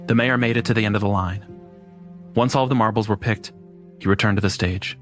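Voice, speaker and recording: A man's voice: on the low side, fairly even intonation, brisk, music in the background. A man narrating a scripted first-person horror story.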